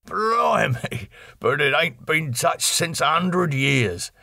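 Rough Cockney carter, garrulous and exclamatory, pitched well up, close dry recording. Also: male voice, sing-song, slow pace, pushed and bright, no room sound, exaggerated voice. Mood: spooked, exclaiming. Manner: colloquial, exclamatory, complaining. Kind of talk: audiobook character dialogue.

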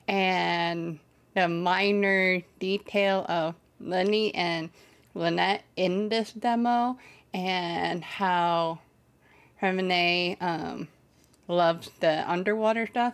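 Slow, halting young woman chatting casually. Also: medium pitch. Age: young adult. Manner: halting, searching for words. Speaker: reaction video host. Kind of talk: casual reaction commentary. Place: small bedroom setup, indoors. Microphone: desk microphone on boom arm.

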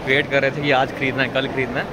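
A man's voice: medium pitch, little pitch movement, fast. A young man chats casually to viewers as a vlogger, holding a phone at arm's length. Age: young adult. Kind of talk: casual vlog chat. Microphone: handheld phone at arm's length. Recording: noisy phone audio with wind and ambience.

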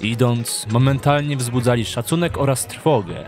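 A man reads dramatic, scripted story narration for an audio drama. His voice sits at a medium pitch, with music clearly audible underneath. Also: moderate pace, a little mellow.

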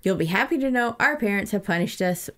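A woman's voice: medium pitch, expressive, a little fast, fairly bright tone. A woman co-hosting a podcast, casually recapping a book in an informal, amused way. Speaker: podcast co-host.

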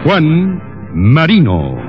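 Slow, theatrical male radio announcer. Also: a little high, wildly swooping pitch, over loud music. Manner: theatrical, emphatic, measured. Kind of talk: dramatic old radio serial announcing. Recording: dull lo-fi old radio recording.

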